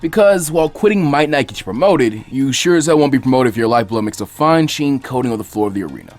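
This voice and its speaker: A man's voice: mid-range voice, lively intonation, quick pace, a little mellow, soft background music, close to an everyday voice. A man voicing an easygoing older male ninja mentor with a lazy drawl. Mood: laid-back. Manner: lazy, laid-back drawl.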